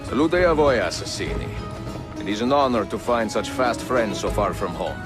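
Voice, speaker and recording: An actor playing an older, courteous and wry Italian master assassin in a video game, with an Italian accent and a measured, formal delivery. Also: male voice, fairly low pitch, animated, over music, close to an everyday voice. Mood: calm, gracious. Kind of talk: video game cutscene acting.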